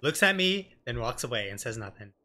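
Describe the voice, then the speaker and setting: A man's voice: medium pitch, big pitch swings, fairly quick, sharp tone, faint music behind. A man in his thirties giving casual, joking, rambling let's play commentary as a streamer.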